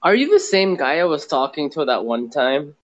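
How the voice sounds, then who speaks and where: A man's voice: a little high, big pitch swings, moderate pace, lo-fi sound, slight background noise. A man calling in to a casual chat, sick with a sore throat and draining sinuses, explaining in a rambling, roundabout way. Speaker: caller.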